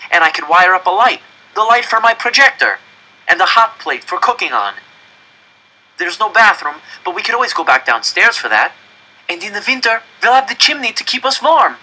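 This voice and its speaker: A man voicing an eager young boy who pleads with urgent excitement in an audiobook story. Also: high voice, normal speed, voice pushed up, full character voice, poor audio. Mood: excited, pleading.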